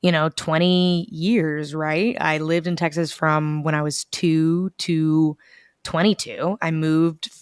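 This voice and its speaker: A young woman from the United States, talking casually as an interview guest over a video call, telling stories with lively quoted asides. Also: deep voice, slow pace, clean tone, no echo, clean studio sound. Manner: chatty, animated storytelling with quoted asides. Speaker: bookstore events director, guest.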